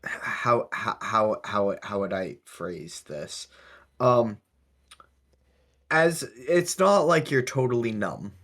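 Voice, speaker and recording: A man hosting a podcast in casual discussion, speaking in a halting, measured way with careful qualifications. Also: on the low side, lively intonation, a bit bright. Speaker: podcast host.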